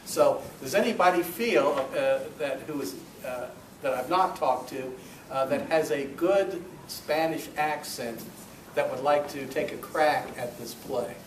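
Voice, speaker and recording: A man's voice: fairly high pitch, a little slow. A man hosting a club meeting with informal announcements and joking banter. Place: meeting room with chattering group. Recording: echoey noisy meeting room, far mic.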